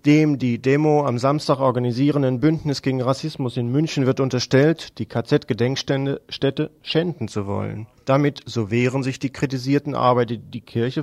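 Creaky-voiced man reading a news report. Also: mid-range voice, darkish tone, no echo. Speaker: radio news presenter.

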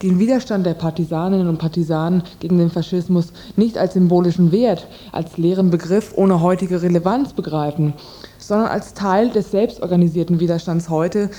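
A woman with a lowish, very dark and relaxed timbre reads a radio news report. She delivers prepared text and translations as a presenter. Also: brisk. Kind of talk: scripted news reading and voice-over translation.

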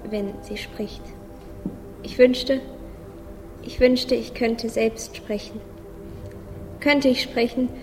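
A woman's voice: fairly high pitch, a little mellow. A woman playing a naive, sad little boy musing simply in a radio play. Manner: simple childlike musing, repeating phrases. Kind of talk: radio play inner monologue. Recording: long reverb, music clearly behind.

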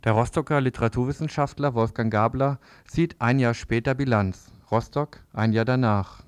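A male news presenter with a crisp, resonant voice reads scripted previews. His delivery is formal and measured. The sound is close and dry, with very little room. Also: mid-range voice, no room sound.

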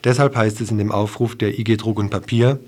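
A man's voice: lowish voice, steady tone, fairly quick, darkish tone. A man presenting a scripted news report on the radio.

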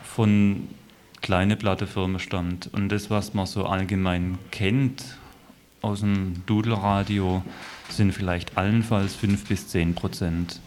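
Very low-voiced man explaining on radio. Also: flat delivery, slow pace, a little mellow, slight background noise. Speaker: radio music editor. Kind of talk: unscripted radio discussion.

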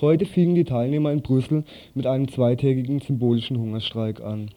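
A man reading a radio news script in a halting way, sometimes stumbling over words. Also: mid-range voice, fairly even intonation, dark tone.

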